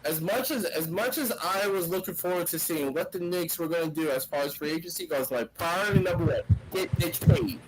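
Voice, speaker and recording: Sports podcast co-host, male, high and lively voice, casual debate delivery, restarts phrases, faint noise behind. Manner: animated, restarts phrases often.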